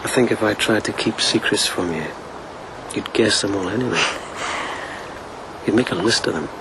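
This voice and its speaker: Radio drama: devoted lieutenant of old Japan , male actor, lowish voice, soft and measured, noticeable ambience behind. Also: noisy background, close to an everyday voice. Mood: tender, wry. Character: gentle, wry, devoted police lieutenant of ancient Japan. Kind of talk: intimate radio drama acting.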